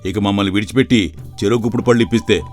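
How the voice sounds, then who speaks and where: A man's voice: fairly low pitch, fairly even intonation, very fast, soft background music, lightly acted. A man voicing a defeated captive soldier who pleads and bargains, in a storybook cartoon. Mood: pleading.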